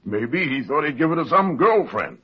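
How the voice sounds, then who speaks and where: An actor playing a brisk, commanding old insurance company president in an old-time radio drama, businesslike and emphatic. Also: male voice, a little high, very animated, slightly rough. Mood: insistent. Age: older. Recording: muffled old radio broadcast recording.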